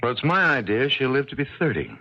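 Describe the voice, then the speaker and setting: A man acting in an American 1970s radio drama as a strange, grieving older man, telling things slowly and deliberately with folksy certainty. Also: mid-range voice, very animated, sharp tone, muffled recording, heavily acted. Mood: earnest. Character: strange, grieving older man guarding a dead sweetheart's memory.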